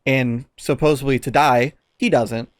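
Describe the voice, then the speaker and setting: A man's voice: mid-range voice, steady tone, unhurried, no echo, clean studio sound. A man co-hosting a podcast, giving a casual, exasperated and profanity-laced movie review. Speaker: podcast co-host.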